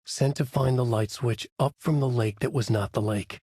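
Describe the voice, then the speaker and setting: A man narrating a dark thriller game as a brooding, haunted writer, in a deliberate, literary, dramatic delivery. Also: mid-range voice, breathy edge, no echo, studio quality. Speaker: voice actor playing a writer protagonist who narrates.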